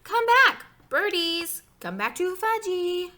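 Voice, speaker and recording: A woman voicing an excitable toddler boy in a children's storybook, with squealing, repetitive baby talk. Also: very high voice, takes it slowly, voice pushed up, exaggerated voice. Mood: eager. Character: Fudge, excitable toddler boy who loves birds.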